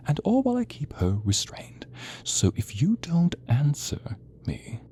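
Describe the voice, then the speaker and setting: A man's voice: deep voice, mellow. A man playing a sadistic, volatile psychopath villain in a theatrical audio roleplay, agitated and prone to outbursts. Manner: hissing threats, sudden outbursts, agitated.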